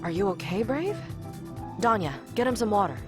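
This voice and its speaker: An actress playing a friendly, inquisitive spaceship crew member in a visual novel, with an expressive, chatty manner. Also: female voice, medium pitch, normal speed, over music, lightly acted. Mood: concerned. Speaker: game character.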